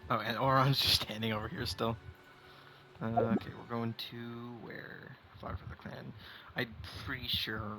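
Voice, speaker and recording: A man's voice: mid-range voice, flat delivery, moderate pace, vocal fry. A man streaming a game on a headset mic, giving offhand, muttered casual commentary. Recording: noisy headset gameplay stream.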